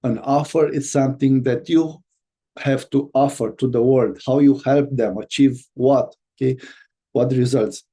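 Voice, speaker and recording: Romanian guy teaching his online course, slow and halting, really dark tone, lots of restarts. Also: mid-range voice, steady tone, slightly rough. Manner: halting, many fillers and restarts, laughs lightly. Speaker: business coach.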